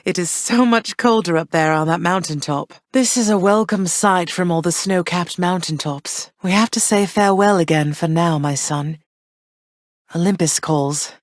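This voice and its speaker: Woman voicing a gentle, motherly underworld queen for a game; low-pitched, warm, gracious, dry close studio read. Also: normal speed, no echo, natural voice. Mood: tender. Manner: warm, gracious, softly reassuring. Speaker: game character. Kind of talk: game voice lines.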